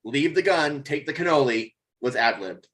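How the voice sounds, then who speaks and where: A man's voice: fairly high pitch, animated, moderate pace, a bit bright, a little hiss or hum, low-quality recording. A man in a casual chat, reading out bits of trivia as a panelist. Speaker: panelist sharing film trivia.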